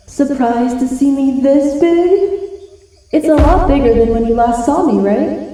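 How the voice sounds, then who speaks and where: A woman playing a proud, feral but soft-hearted giant wolf girl in an ASMR roleplay, with breathy, dramatic pauses. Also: on the high side. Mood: confident, awed. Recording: very echoey, distant from the mic.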